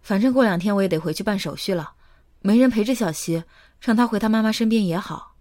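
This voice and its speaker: An actress plays a young woman in a modern audio drama with a medium-pitched voice. She is cheeky and playful, with a coaxing, tender, devoted side. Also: quick pace, darkish tone, dry recording, studio quality, close to an everyday voice. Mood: matter-of-fact. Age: young adult.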